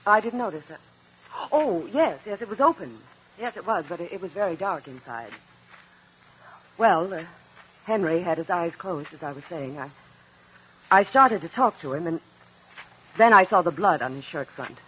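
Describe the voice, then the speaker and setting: An actress in a 1950s radio drama playing a defensive widowed former nurse, hesitant and indignant on the witness stand. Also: female voice, fairly low pitch, expressive, normal speed, pauses often, a bit bright, slightly rough. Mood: hesitant, uneasy. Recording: muffled vintage 1950s radio recording.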